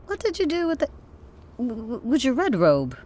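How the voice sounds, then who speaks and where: A high-voiced, confrontational American lover. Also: female voice, huge pitch swings, moderate pace, a little mellow, slight background noise. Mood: casual, teasing. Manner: emphatic, pleading, plain-spoken. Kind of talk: naturalistic audio drama acting.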